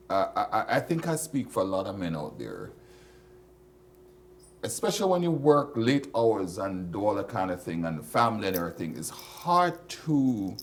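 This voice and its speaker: A Jamaican man hosting a casual chat, joking and laughing, with sudden loud bursts. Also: mid-range voice, slight background noise. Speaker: host.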